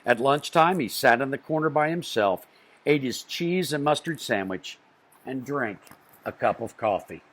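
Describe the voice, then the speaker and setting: A man reads a children's picture book aloud. His mid-range voice moves through wide rises and falls, and he phrases each beat deliberately. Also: medium pitch, expressive, fairly bright tone. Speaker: children's storyteller.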